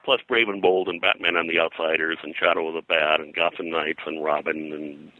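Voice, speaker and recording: Deep, bright-voiced older man reminiscing. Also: fairly even intonation, no room sound, low-quality recording. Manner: halting, digressive, detail-heavy recall. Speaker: pop culture historian and comics colorist, guest. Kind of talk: rambling reminiscence in an interview.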